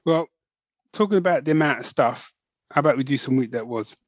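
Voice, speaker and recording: A man's voice: medium pitch, expressive, brisk, no echo, clean studio sound. A man co-hosting a podcast, chatting casually and rambling with restarts. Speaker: podcast co-host. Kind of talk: casual chat.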